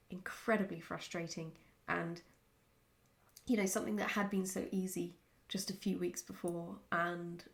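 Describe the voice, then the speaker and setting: Woman, lowish pitch, gravelly vocal fry, casual vlog chat, reflective, candid, halting delivery. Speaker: writer answering follower questions.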